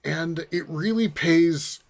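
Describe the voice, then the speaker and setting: A man in his forties hosting a casual film discussion, thinking aloud in a halting way with repeated starts. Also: on the high side. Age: 40s. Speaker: podcast host.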